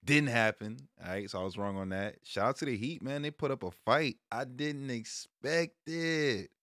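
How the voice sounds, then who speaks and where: A man's voice: mid-range voice, moderate pace, fairly bright tone, no room sound, studio quality. An American man in his thirties chatting casually about sports to camera in a laid-back, slangy way. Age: 30s. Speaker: streamer and sports vlog host. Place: small room at home, indoors. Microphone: close microphone on a stand, headset on.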